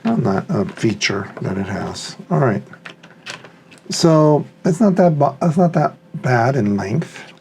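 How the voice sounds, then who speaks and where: A man talks slowly and tentatively, musing to himself in a casual unboxing commentary. His voice is mellow with plenty of fry, and there is some background noise. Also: medium pitch, very animated. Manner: musing, thinking aloud, tentative. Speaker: hobbyist product reviewer.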